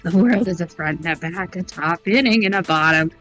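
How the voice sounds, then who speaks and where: A woman's voice: lowish voice, a little fast, fairly bright tone, soft background music, voice pushed down, lightly acted. A woman voicing an unhinged, gleefully sinister young killer in a theatrical, cackling video game style. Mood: manic glee.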